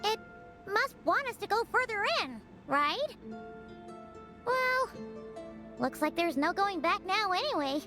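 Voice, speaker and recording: A woman voicing a small, excitable, loyal fairy companion in a video game, with a squeaky childlike voice. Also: slow, long pauses, heavily acted. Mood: encouraging. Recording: loud music under the voice.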